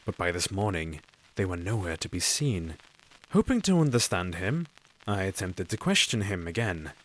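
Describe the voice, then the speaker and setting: A man's voice: fairly low pitch, fairly bright tone. A man playing an earnest, lovelorn young doctor. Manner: earnest, formal and measured. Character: lovelorn young male doctor keeping a diary. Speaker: asylum doctor and narrator. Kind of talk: audio drama narration.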